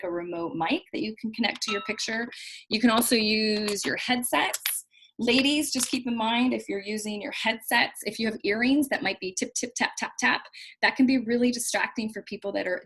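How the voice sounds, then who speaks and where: A woman with a loud voice, giving a casual video-call lesson as host, chatty and full of restarts and fillers. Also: medium pitch. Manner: chatty, repeats herself, many fillers and restarts. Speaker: trainer hosting a video call. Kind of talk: casual instructional talk. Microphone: built-in computer mic on a video call.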